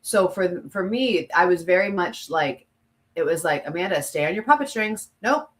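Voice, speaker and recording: A woman's voice: fairly low pitch, lively intonation, normal speed. A woman co-hosting a podcast, telling stories emphatically in candid, conversational talk and often quoting herself and others. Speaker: podcast co-host, former TV news presenter.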